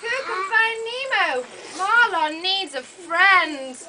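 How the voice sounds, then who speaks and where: A woman's voice: extremely high pitch, animated, takes it slowly. A woman telling a story live to young children in short simple phrases, exclamatory, with questions to her audience. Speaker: puppet show narrator. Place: open-sided thatched hall with audience of children. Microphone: camera microphone from audience. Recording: noisy open-air hall recording.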